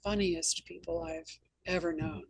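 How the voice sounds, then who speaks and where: Lower-pitched woman with a creaky voice. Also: fairly even intonation, unhurried. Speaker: interview host and novelist. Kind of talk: conversational interview and anecdotes.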